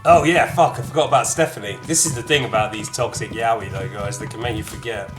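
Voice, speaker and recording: A man's voice: lowish voice, fast talker, music in the background. Chatty man gushing over a game. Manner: chatty, playful, gushing asides. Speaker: gamer commentating his play. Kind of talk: casual let's-play commentary.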